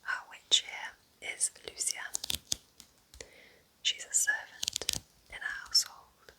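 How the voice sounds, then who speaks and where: Soft whispering woman, ASMR style. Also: poor audio. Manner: gentle, unhurried, chatty and informal. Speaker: ASMR host recommending books. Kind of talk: ASMR roleplay, intimate whispering.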